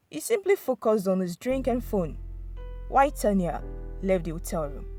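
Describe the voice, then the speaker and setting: A woman's voice: medium pitch, a little mellow. A woman narrating a scripted dramatic folktale as a storyteller.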